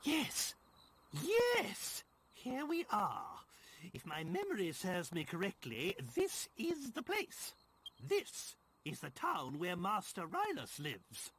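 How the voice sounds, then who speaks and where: A man playing a pompous, fussy old cursed king in a video game, with a raspy voice and theatrical, old-fashioned speech. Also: high voice, huge pitch swings, slow, a bit bright, heavily acted. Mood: excited. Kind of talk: video game character acting.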